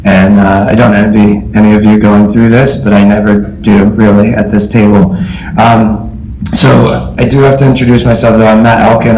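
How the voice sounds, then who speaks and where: A man with a scratchy voice, a teacher and parent, speaking at a school board meeting in a measured, halting way. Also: lowish voice, darkish tone. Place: meeting room. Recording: roomy meeting hall audio, faint noise.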